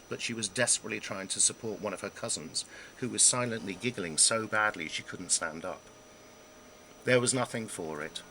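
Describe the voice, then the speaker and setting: Deep-voiced man reading with lively, wry delivery. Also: a little hiss or hum, poor audio. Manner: wry, self-deprecating, dramatic pauses and emphasis. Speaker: author reading own memoir. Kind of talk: scripted narration of a humorous memoir.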